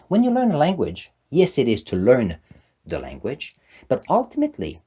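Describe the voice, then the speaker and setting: A man's voice: lowish voice, a little mellow, husky. A man in his sixties with a French-Canadian accent, French his first language, giving an earnest motivational talk to camera as the channel's host. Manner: earnest, emphatic, repeats key words.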